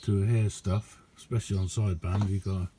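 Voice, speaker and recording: A middle-aged man, giving a casual, halting and unhurried hands-on demonstration as a radio hobbyist. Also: low-pitched, steady tone, warm tone, slight background noise. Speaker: radio hobbyist showing a homemade receiver. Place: indoor hobby radio room.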